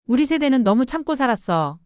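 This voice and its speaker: Woman voicing a high, chatty older lady. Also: sing-song, a little fast, a little mellow, dry recording, studio quality. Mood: approving. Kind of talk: audiobook drama dialogue.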